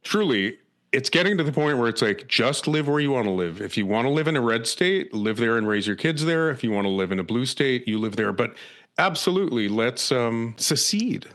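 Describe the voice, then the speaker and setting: A middle-aged man hosting a podcast, losing his voice and talking in an animated, joking, tongue-in-cheek way. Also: mid-range voice, fairly quick. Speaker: podcast host. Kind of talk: casual conversation.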